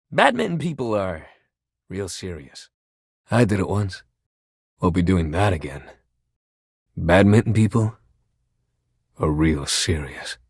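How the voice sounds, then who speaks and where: A man's voice: lowish voice, fairly bright tone, no room sound, clean studio sound, exaggerated voice. A man voicing a sporty young guy in a video game, an upbeat badminton lover who talks in slangy, buddy-like coach pep talk. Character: upbeat, sporty young badminton-loving dude, animated shuttlecock. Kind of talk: video game character voice acting.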